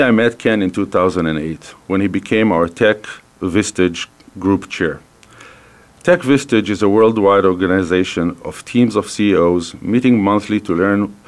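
Israeli-accented man, fifties, low voice, halting radio host, lots of um and uh. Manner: hesitant, many fillers and restarts. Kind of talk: hosting a radio business interview. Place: radio studio.

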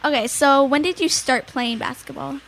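A woman's voice: sits high, creaky, a bit bright. A woman hosting the show, asking questions and reacting eagerly. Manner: eager interviewer, quick to exclaim.

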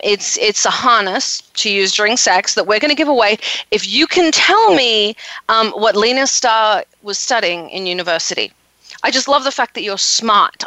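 A woman's voice: medium pitch, bright, forceful tone, no room sound, poor audio. A woman hosting a casual radio interview, talking in an outspoken, emphatic and conversational way. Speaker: radio show host.

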